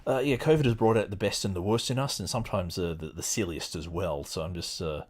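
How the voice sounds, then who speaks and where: A man's voice: sits low, fast, darkish tone, slightly rough. A middle-aged man hosting a podcast, chatting casually and reading aloud from a book. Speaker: podcast host.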